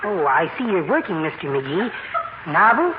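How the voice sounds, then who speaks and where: An actor playing a timid, dainty little amateur poet, mild, polite and precious, in an old radio comedy. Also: male voice, sits high, big pitch swings, moderate pace. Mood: meek, polite. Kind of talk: 1940s radio comedy acting. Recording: muffled, noisy old radio recording.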